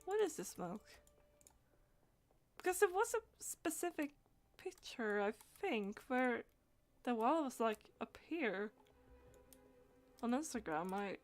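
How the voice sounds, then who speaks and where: A woman with a fairly high voice chats through a game on a live stream. She speaks very slowly and hesitantly. Her phrases often trail off as she thinks aloud. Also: big pitch swings, faint music behind. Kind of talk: casual live gaming stream commentary.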